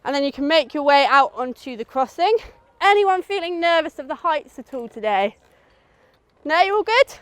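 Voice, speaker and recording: A woman's voice: high-pitched, animated, moderate pace, no echo. A woman with a British accent giving an informal, instructive safety briefing to a group, often checking they follow. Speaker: outdoor adventure course safety instructor.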